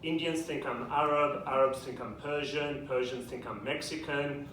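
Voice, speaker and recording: A man's voice: mid-range voice, fairly even intonation. British-accented male comic in an echoey club. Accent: British English. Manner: dry, self-deprecating punchlines with quick asides. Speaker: stand-up comedian. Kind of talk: stand-up comedy set. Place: comedy club with a live audience. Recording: echoey club, distant mic, audience noise. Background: audience laughter.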